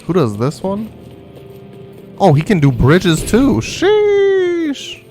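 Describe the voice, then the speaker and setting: A man's voice: high voice, wildly swooping pitch, slow, mellow. A man streaming a video game, chatting casually through his gameplay as he goes. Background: game music.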